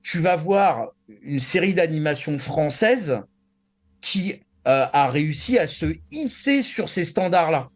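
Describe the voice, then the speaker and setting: A man speaks as a panelist in a casual, opinionated discussion. His pitch is slightly high for a man. He is colloquial and insistent, repeating words and phrases to drive his point home. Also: lively intonation, unhurried. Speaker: show panelist.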